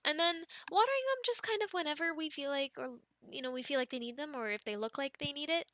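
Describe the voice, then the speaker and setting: A young woman doing a girlfriend ASMR roleplay, talking softly and rambling with many ums and small laughs. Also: high-pitched, animated, fast talker, dry recording.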